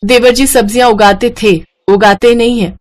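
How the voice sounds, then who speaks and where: A sharp-tongued village daughter-in-law from a family morality tale, put on by a woman. She complains bluntly and resentfully in a raised pitch. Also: fairly even intonation, moderate pace, natural voice. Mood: resentful, complaining. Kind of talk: acted Hindi moral story dialogue.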